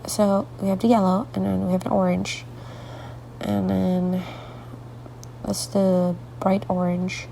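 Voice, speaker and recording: A woman artist talking through a coloring tutorial with a congested, stuffy voice, rambling softly and often dropping into half-whispered asides, sleepy late at night. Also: medium pitch, flat delivery, slow pace, creaky, pauses often, slight background noise, rough recording. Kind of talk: casual coloring tutorial narration.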